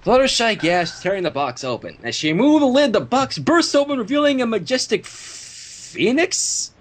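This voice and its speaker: Expressive, swooping, husky story reader. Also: mid-range voice, normal speed. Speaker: fan fiction reader. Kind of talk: story narration with casual asides.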